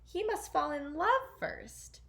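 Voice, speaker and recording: A woman's voice: extremely high pitch, animated, slow pace, fairly bright tone, pitched above the performer's own voice, exaggerated voice. A woman voicing an anxious male courtier who pleads and argues in a storybook. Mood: protesting. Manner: pleading, argumentative. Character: anxious, protesting grown male Grand Duke.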